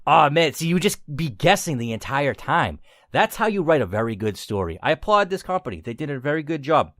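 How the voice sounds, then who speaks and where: A man hosting a gaming channel, giving casual commentary on a game in an emphatic, gushing way with drawn-out words. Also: fairly high pitch, big pitch swings, a bit bright, dry recording, studio quality.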